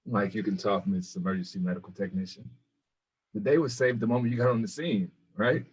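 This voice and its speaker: A man's voice: on the low side, fairly even intonation, brisk, background noise, close to an everyday voice. A man acting an easygoing, good-hearted young hospital volunteer with casual banter in a screenplay table read, on dull audio. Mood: affectionate teasing.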